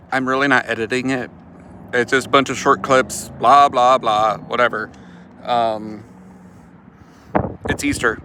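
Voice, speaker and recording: Slow-talking relaxed garage vlogger, man. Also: mid-range voice, background noise. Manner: relaxed, rambling, filler-heavy. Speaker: car-project vlogger. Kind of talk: casual garage chat. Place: home garage.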